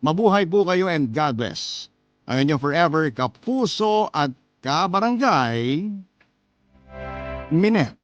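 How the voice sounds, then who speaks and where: A man's voice: on the high side, sing-song, takes it slowly, dry recording, highs cut off. A man with a Filipino accent reading a heartfelt letter as a scripted radio story. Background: music.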